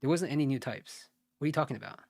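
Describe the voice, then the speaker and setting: A man's voice: mid-range voice, rapid-fire, creaky, a little mellow, no echo, studio quality. A man from the United States working through math questions live, thinking aloud, muttering and correcting himself as he goes. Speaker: SAT tutor streaming live.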